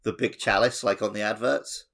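A man's voice: lowish voice, fairly even intonation, moderate pace, creaky, fairly bright tone. A man co-hosting a podcast in casual chat, telling anecdotes in a jokey, self-deprecating way. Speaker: podcast co-host.

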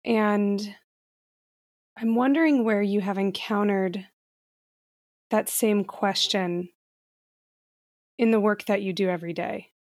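A woman's voice: mid-range voice, steady tone, slow, long pauses, a little mellow, no echo, studio quality. A woman interviewer who forms her questions while asking them, searching for words.

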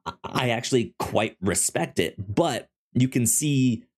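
A man co-hosting a podcast, chatting casually about an audio drama in a halting, stammering way that often repeats the starts of words. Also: medium pitch, animated, breathy edge. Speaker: podcast co-host.

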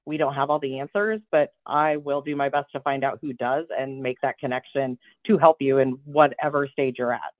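A woman's voice: low-pitched, dry recording. A woman speaking casually in an interview as a business development guest. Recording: thin phone-like band, very dry.

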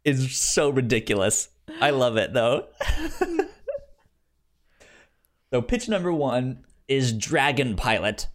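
A man co-hosts a casual podcast with a lively, varied intonation. He reads pitches aloud at an unhurried, slow pace. He often stammers and repeats syllables as he goes. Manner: stammers over words when reading aloud. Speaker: podcast co-host. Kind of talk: casual podcast chat, reading out show pitches.

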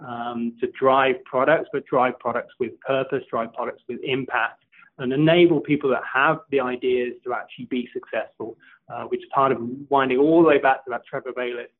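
A man's voice: medium pitch, fairly even intonation, moderate pace. A man speaking as a guest in a casual interview, enthusiastic and repetitive, often restarting his sentences. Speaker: co-founder guest. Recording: tinny phone-like line.

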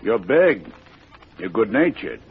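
An American actor playing a sarcastic, college-educated police lieutenant in a 1950s radio drama, articulate and needling. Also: male voice, on the low side, big pitch swings, takes it slowly, breathy edge, exaggerated voice. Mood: lecturing. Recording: dull, noisy old radio recording.

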